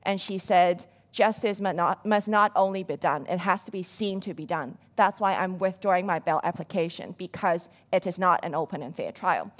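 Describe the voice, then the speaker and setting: Brief: young woman activist, lowish pitch, steady earnest delivery, seated on a dark stage. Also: normal speed, few pauses. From Hong Kong. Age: young adult. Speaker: panelist, activist. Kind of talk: prepared panel speech.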